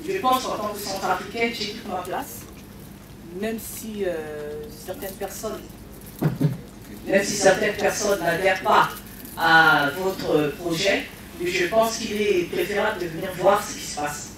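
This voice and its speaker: A woman's voice: mid-range voice, measured pace. A woman from the audience putting a direct, challenging question at a public meeting. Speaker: audience member asking a question. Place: large meeting room. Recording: echoey hall from far off, noisy.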